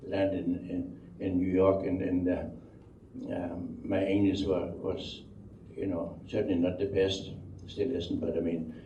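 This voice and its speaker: Deep-voiced older Danish man, roomy recording. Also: little pitch movement, dark tone. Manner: halting, rambling, with repeated words. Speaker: speaker telling life story. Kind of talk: unscripted oral history storytelling. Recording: dull, roomy, distant microphone, faint noise.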